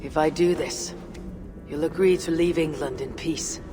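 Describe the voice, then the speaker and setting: An actor playing a calm, determined Viking warrior in a video game cutscene. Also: male voice, a little high, unhurried, lightly acted. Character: steady male Viking warrior, calm and resolute. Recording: game audio with reverb and music.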